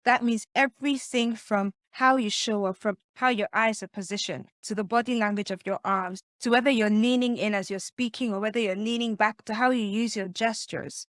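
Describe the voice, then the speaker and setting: A soft-voiced woman sits somewhere on the higher side of the usual female range as she coaches on speaking skills. Her delivery is emphatic and stresses key words, and she keeps turning to the listener with questions. The sound is dry and close, with almost no room around it. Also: steady tone, normal speed, few pauses, dry recording. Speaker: communication coach. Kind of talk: teaching presentation tips to camera.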